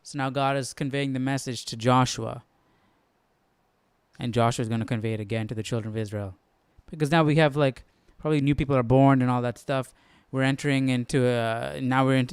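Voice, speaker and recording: A man's voice: medium pitch, steady tone, brisk, clear, resonant tone, no echo. A man hosting a Bible study, explaining casually and tentatively as he thinks aloud, often checking himself. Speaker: host of a Bible study stream.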